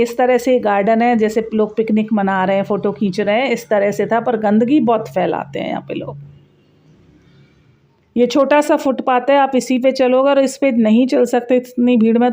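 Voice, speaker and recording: A woman's voice: on the high side, fairly even intonation, normal speed, dark tone. A woman giving a casual, chatty travel vlog voice-over, explaining sights directly to viewers. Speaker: travel vlogger narrating a sightseeing tour. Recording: dull, muffled lo-fi sound.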